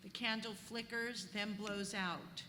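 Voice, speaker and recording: A woman's voice: medium pitch, steady tone, slow pace, sharp tone. A woman reading stage directions as scripted narration at a live play reading. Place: live reading in a church venue. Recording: echoey hall, clear background noise.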